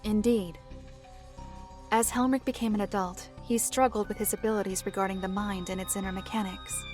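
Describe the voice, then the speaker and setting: A solemn storyteller, played by a woman, telling dark fantasy lore in a middle-pitched voice. The delivery is formal and measured, like a scripted visual novel narration, with faint music underneath. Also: steady tone, close to an everyday voice.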